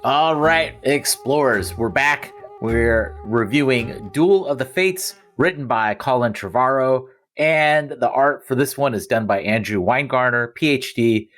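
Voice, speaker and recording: A man's voice: mid-range voice, unhurried, few pauses, fairly bright tone, faint music behind. A man hosting a podcast in a casual, conversational way with joking asides. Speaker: podcast host. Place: remote recording.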